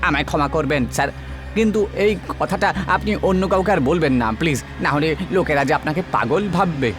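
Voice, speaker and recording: Man acting a high-voiced, timid police constable. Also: a little fast, music in the background, natural voice. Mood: concerned, deferential. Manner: complaining, deferential. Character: timid, reluctant, deferential male police constable sidekick. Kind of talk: cartoon horror story acting.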